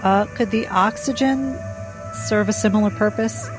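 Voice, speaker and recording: A woman doing in-character tabletop role-play drama in a measured, deliberate, restrained way. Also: medium pitch, music in the background, rough recording. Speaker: role-play game player.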